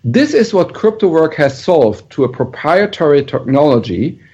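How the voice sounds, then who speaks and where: A man's voice: fairly high pitch, sing-song, moderate pace, darkish tone, slightly rough. German-accented man on a dull line. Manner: earnest, explanatory, with fillers and restarts. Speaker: startup founder guest. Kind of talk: podcast interview, explaining technology. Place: San Mateo, California.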